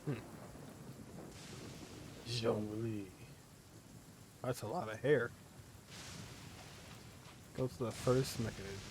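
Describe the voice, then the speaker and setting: A man's voice: mid-range voice, background noise. A man giving casual gameplay commentary, musing aloud in a playful way. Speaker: gamer playing on camera or stream.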